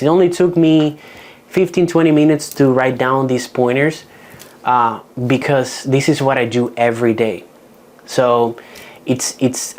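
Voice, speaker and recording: Dominican guy with an accent running a real estate seminar, practical, restarts his sentences a lot. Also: medium pitch. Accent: Dominican Spanish. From Dominican Republic. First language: Spanish. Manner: frank, practical, with frequent restarts. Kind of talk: seminar talk.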